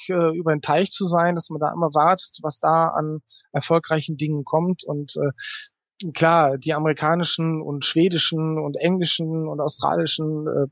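A man's voice: fairly high pitch, no room sound. A man giving casual interview answers as an author, thoughtful and explanatory, feeling his way through his phrasing.

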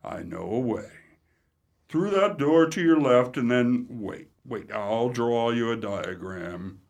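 A man's voice: mid-range voice, expressive, takes it slowly, vocal fry. An actor playing a friendly undertaker in a radio comedy, speaking formally and with mock solemnity as he slips in morbid puns. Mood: droll, mock-solemn.

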